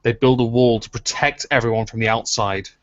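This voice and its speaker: A man's voice: medium pitch, steady tone, brisk. A man hosting a casual chat, teasing his guest with dry jokes. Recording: dull lo-fi sound.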